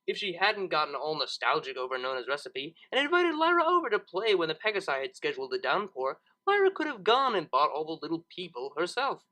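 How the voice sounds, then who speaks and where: A man narrates a story with a bright, fairly high voice. His reading is expressive, and his pitch moves up and down in a very animated way. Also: brisk, hardly any pauses, low-quality recording. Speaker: narrator reading fan fiction, channel host.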